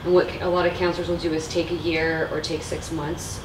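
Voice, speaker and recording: A woman's voice: deep voice, level tone, fairly quick. A woman talking conversationally in a group discussion. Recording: echoey room, distant mic, clear noise.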